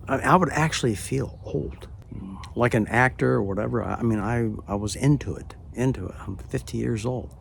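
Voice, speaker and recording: A man in his late seventies telling a personal story in a hesitant way, with many pauses, and often dropping to a near-whisper. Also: on the low side, vocal fry, a little hiss or hum. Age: late 70s. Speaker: storyteller recounting a personal experience. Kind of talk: unhurried spoken personal narration.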